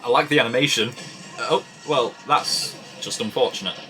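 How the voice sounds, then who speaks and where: A man's voice: mid-range voice, normal speed, sharp tone, rough recording. A man hosting a reaction video, giving brief, offhand commentary in a casual style. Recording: cartoon soundtrack playing behind. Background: cartoon music.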